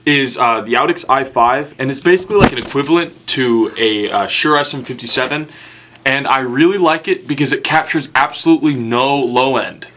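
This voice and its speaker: A man's voice: mid-range voice, moderate pace, a bit bright, a little hiss or hum. A young man playing a recording engineer, giving absurd mixing advice in a confident, matter-of-fact way. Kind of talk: deadpan parody advice.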